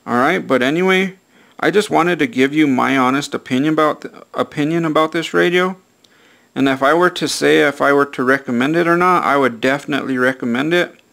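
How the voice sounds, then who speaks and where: A man's voice: fairly high pitch, creaky, a bit bright. A man talking casually through a hands-on product review in a plain-spoken, repetitive way, often punctuating with "all right".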